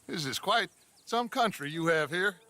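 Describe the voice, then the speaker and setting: A silver-tongued outlaw boss. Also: male voice, on the high side, lively intonation, normal speed, bright, forceful tone, slight background noise. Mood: smooth charm. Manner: grandiloquent, persuasive. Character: charming, theatrical male outlaw gang leader, middle-aged. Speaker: smooth-talking gang leader using an alias.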